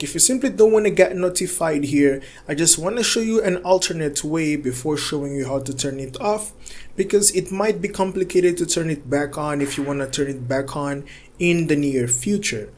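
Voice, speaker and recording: A man's voice: a little high, darkish tone. A man hosting a tech tutorial, narrating steps casually in an instructional, reassuring way and often checking in with a short tag.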